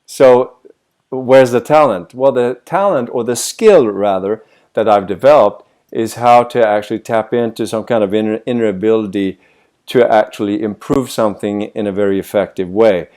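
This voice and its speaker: Guy talking over a video call, warm lowish voice, lots of rhetorical questions. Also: normal speed. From Sweden. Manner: thoughtful, many rhetorical questions. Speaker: voice coach. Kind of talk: reflective interview answers.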